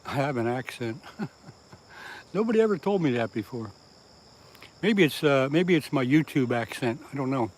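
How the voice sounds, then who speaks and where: A man of middle pitch from southeast Pennsylvania hosts a live fishing stream outdoors by the water. He chats casually, answers viewer comments and laughs at his own jokes. Faint insect noise hums behind him. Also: lively intonation, normal speed, pauses often, poor audio. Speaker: fishing livestream host.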